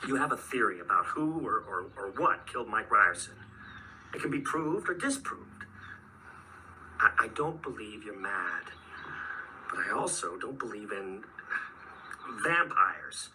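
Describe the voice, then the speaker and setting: An actor in a radio drama playing a sceptical, level-headed man who reasons haltingly and urgently with a frightened friend. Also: lowish voice, very animated, bright, forceful tone, distant mic, full character voice. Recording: noisy radio drama, off the mic.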